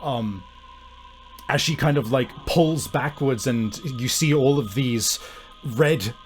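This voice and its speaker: A man's voice: medium pitch, slow. Man game master over music. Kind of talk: improvised narration of a horror tabletop game. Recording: music bed behind the voice.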